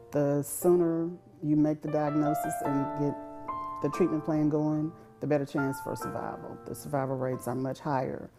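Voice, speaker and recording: A woman speaks in a deep voice with fairly even intonation, reflecting on personal experience. Piano music plays clearly behind her. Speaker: cancer survivor and advocate.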